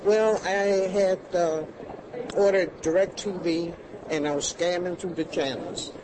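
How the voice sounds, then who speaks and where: A woman's voice: on the low side, steady tone, takes it slowly, noisy background. A woman from Buffalo, New York, answering questions with enthusiasm. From Buffalo, New York, USA. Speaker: interviewee. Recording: crowd chatter at an outdoor event.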